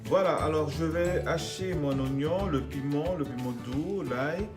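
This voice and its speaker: A man's voice: fairly high pitch, measured pace, over music. A man from the Netherlands with a Dutch accent, speaking French, talking through a home cooking video with many hesitations and restarts. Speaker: home cook.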